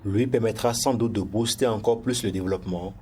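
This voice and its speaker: News reporter, male, Beninese accent, lowish register, scripted report delivery over background noise. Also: fairly quick, darkish tone.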